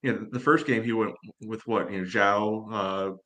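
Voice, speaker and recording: A man's voice: on the low side, fairly even intonation, normal speed, sharp tone. An American man talking casually through sports analysis as a podcast co-host.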